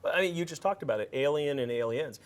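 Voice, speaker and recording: A man's voice: on the high side, expressive, fast talker. A man interviewing a guest, chatty and self-deprecating, stumbling over the openings of his questions.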